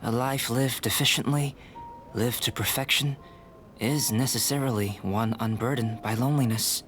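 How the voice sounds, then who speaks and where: A man playing a calm young alchemist scholar in an anime-style video game, speaking in a measured, formal, reflective way. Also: medium pitch, soft background music, natural voice. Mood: philosophical.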